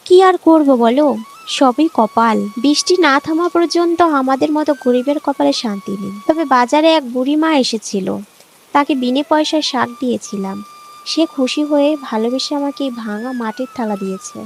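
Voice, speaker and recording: A woman as a high-pitched, earnest village wife. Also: normal speed, slight background noise. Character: poor, honest, resilient young village wife and mother. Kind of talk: cartoon character dialogue. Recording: music behind the voice.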